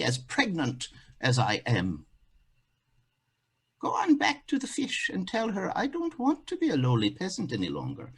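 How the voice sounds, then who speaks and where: A man's voice: sits high, gravelly, a bit bright, breathy edge, heavily acted. A man voicing a greedy, scolding wife who snaps insults and commands in a folktale play. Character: shrill, scolding, greedy peasant wife, cursing and imperious.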